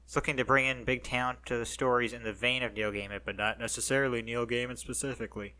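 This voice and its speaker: A man co-hosting a casual podcast, relaxed and hesitant, reading out news items with fillers. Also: mid-range voice, fast. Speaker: podcast co-host.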